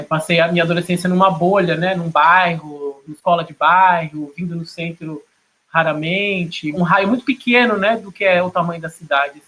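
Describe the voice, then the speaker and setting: A man's voice: high voice, sharp tone, poor audio. A middle-aged man with a São Paulo state Brazilian accent, a photographer talking reflectively about his own work.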